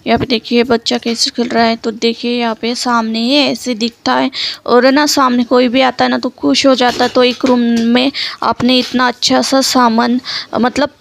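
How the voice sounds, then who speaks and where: High-voiced woman, gravelly edge. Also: little pitch movement, normal speed, a little hiss or hum. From India. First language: Marathi. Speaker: home vlogger. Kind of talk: casual home tour narration. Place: small one-room home, indoors. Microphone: handheld phone.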